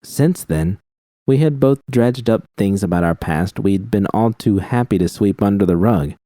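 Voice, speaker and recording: A man with a very deep, dark voice reads first-person fan fiction in a measured, steady way. The recording is dry and close, with no room sound. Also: dry recording. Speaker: reader.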